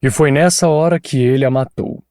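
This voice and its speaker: A man voicing an insistent police chief who questions a witness in a firm, measured way. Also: medium pitch, steady tone, a little mellow, dry recording, studio quality, natural voice. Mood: probing.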